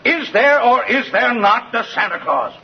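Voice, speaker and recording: Indignant American courtroom prosecutor: high, husky, argumentative, formal, vintage radio drama sound. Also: male voice, big pitch swings, normal speed, heavily acted. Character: indignant, aggressive male prosecuting attorney in court. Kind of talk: 1950s radio drama acting. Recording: muffled vintage radio broadcast.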